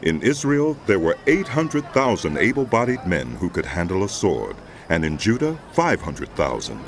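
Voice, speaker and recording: A man narrating a dramatized audio Bible in a solemn, measured, scripted storytelling style. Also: deep voice, very animated, a little hiss or hum. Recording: music behind the voice. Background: background music.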